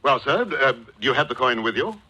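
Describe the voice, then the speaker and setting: An actor in a 1940s radio drama playing a wily, jovial middle-aged gentleman villain who talks with pompous, florid formality. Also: mid-range voice, big pitch swings, brisk, breathy edge, dull audio, faint music behind, heavily acted. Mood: pompous, insistent. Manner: pompous, formal, chuckling, says "sir" constantly. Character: wily, jovial, florid fat-cat gentleman villain posing as coin dealer. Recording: old 1940s radio recording.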